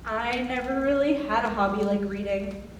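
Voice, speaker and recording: A woman's voice: fairly high pitch, normal speed, close to an everyday voice. A woman playing a frightened, fretful new prison inmate in a staged reading of a musical. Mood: anxious. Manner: anxious, fretful. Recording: echoey room, distant mic, faint noise.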